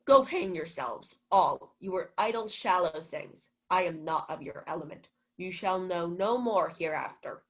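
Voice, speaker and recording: A woman's voice: lowish voice, lively intonation, unhurried, few pauses, poor audio. A young woman playing a pompous, scornful male steward in a radio-style Shakespeare play, declaiming haughtily. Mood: haughty scorn. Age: young adult. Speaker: student actor.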